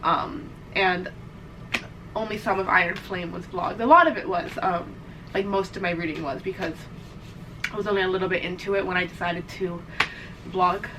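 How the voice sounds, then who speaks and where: A young woman with a stuffed-up, teary voice rambles to camera, full of "like" and "literally." She sits back from the mic, and a clear hum of room noise runs underneath. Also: on the low side, steady tone, moderate pace, far from the mic. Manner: emotional, rambling, swears, says "like" and "literally" often. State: congested, teary. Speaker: reading vlogger. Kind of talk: casual vlog chat to camera.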